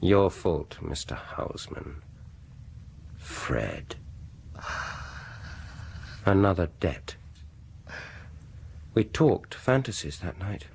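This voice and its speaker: Deep, slow, menacing vengeful dentist. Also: male voice, vocal fry, full character voice. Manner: theatrical, menacing, lingering, dropping to whispers. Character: sinister vengeful male dentist, menacing, gloating and deliberate. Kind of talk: radio horror drama acting.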